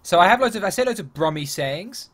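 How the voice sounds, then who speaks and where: A man's voice: sits high, lively intonation, a little fast, a bit bright. A young man from England chatting casually on a livestream, talking in a rambling, easygoing way and laughing easily. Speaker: streamer.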